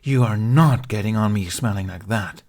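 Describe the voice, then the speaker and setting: A man's voice: fairly low pitch, moderate pace, darkish tone. A man voicing a bossy, impatient male dragon in an audiobook, fussily scolding his human companion. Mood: agitated, demanding. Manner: imperious, fussy, impatient.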